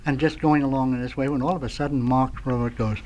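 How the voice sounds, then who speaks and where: An older American man speaks at a medium pitch as a radio guest. He reminisces about his working life in a halting, rambling way, telling anecdotes in a radio studio. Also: fairly even intonation, darkish tone, muffled recording, a little hiss or hum. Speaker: radio guest, veteran sound effects man. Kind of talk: nostalgic anecdotal storytelling.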